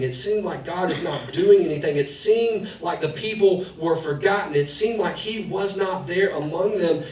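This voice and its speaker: A man in his thirties, a pastor giving a conversational sermon full of personal family stories. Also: fairly high pitch. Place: church sanctuary, preaching in the round. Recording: echoey hall, distant mic, faint noise.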